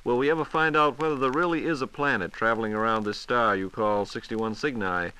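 An American man with a mid-pitched, bright and crisp-edged voice hosts a vintage radio show. He speaks in a polite, orderly announcer style, heard on a 1940s transcription disc. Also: fairly quick, rough recording. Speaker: radio host. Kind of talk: 1940s radio hosting, scripted.

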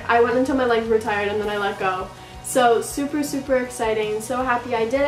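A woman's voice: fairly high pitch, reverberant, far from the mic, poor audio. A young woman chatting to camera for her vlog in a bedroom, bubbly and chatty, full of "like" and "so.". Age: young adult. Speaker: vlogger. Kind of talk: casual vlog chat to camera. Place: bedroom, indoors.

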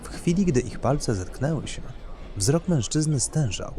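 A man's voice: medium pitch, animated, a little slow, warm tone, slight background noise. A man narrating a scripted dramatic story as its reader.

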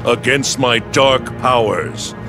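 Game villain: menacing sorcerer, taunting, imperious, unhurried, mid-pitched, music underneath. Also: male voice. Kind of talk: video game villain acting.

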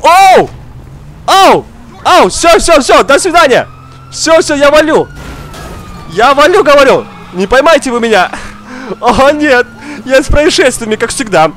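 A young man, slightly under the weather, giving excitable casual gameplay commentary, exclaiming, repeating words and talking straight to the viewer. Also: extremely high pitch, measured pace, long pauses, a little hiss or hum. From outside Russia. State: slightly ill, coughing now and then. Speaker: gaming streamer. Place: indoor room, seated at racing wheel.

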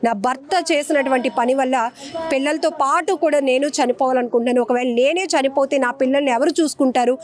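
A woman news reporter delivering an emotional, earnest report, pleading and slowing on heavy phrases. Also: sits high, fairly even intonation. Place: outdoors near a hospital morgue.

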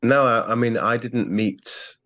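A man in his forties from England with an English accent, recalling his family in a thoughtful, measured way on a video call. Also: mid-range voice, fairly even intonation, a bit bright. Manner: thoughtful, measured, with frequent restarts. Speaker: guest. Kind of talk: reflective interview talk. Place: plain-walled home room. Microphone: earbuds on a video call.

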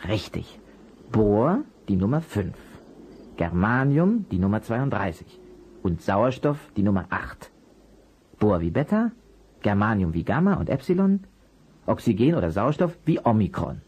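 An actor playing a calm, clever private detective in a children's radio play, explaining things patiently and in measured steps. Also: male voice, on the low side, husky, exaggerated voice.

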